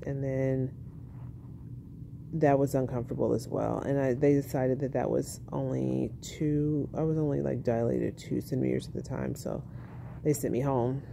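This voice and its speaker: A young woman, a tired new mother, telling her birth story in a casual, monotone vlog at home, speaking softly with a baby on her chest. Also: gravelly, slight background noise. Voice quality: monotone, low-key.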